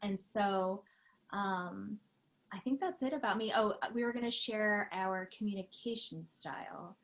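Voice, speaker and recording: A woman's voice: medium pitch, measured pace. A woman in her thirties, a mother, talking earnestly and chattily about herself on a podcast. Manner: earnest and chatty, warm-spoken with fillers. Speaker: podcast co-host. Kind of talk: casual chat.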